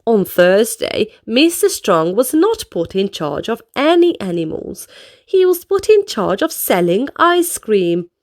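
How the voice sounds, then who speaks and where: High, animated woman reading to children. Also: a little slow. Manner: addresses young listeners with questions and exclamations. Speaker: children's storybook reader. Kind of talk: expressive read-aloud narration for children.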